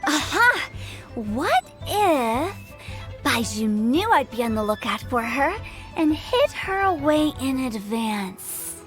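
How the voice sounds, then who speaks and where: A woman's voice: high-pitched, sing-song, slow, heavily acted. An actress playing a playful, mischievous young woman who runs a funeral parlor, speaking in a breezy, teasing way in video game dialogue. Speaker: game character. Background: game music.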